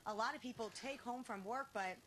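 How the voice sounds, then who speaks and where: A woman's voice: fairly high pitch, brisk, a loud crowd. A woman television field reporter delivering a live news report outdoors at night. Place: outdoors at night at a crash scene.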